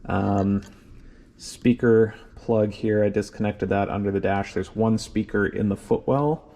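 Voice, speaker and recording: A man's voice: fairly low pitch, steady tone, unhurried, dark tone. A man narrating a hands-on vlog in an informal way, thinking aloud as he examines things. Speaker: car owner and vlogger.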